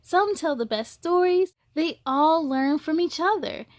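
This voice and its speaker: A woman plays an earnest young cube from a children's storybook. The voice is very high and persuasive, measured in pace and rallying in manner. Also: lively intonation, no room sound, studio quality. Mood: earnest, encouraging.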